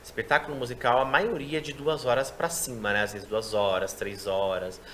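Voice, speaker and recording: A man who works as a sign language interpreter, chatting in an interview and explaining his work in a lively way. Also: medium pitch, slight background noise. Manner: quick, explanatory, animated delivery. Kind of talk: casual interview chat.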